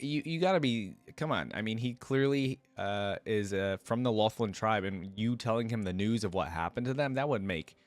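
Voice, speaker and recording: A man's voice: on the low side, no room sound. A man hosting a reaction video, reading and commenting in an informal, conversational way. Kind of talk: casual reaction commentary, reading story text aloud then commenting.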